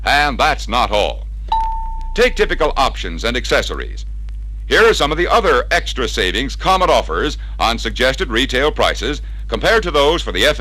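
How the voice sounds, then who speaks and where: A man's voice: mid-range voice, sharp tone, dry recording, poor audio. An American man narrating a 1960s sales training record in an emphatic, persuasive, scripted style, on a vintage recording. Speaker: narrator of a car dealer sales training film.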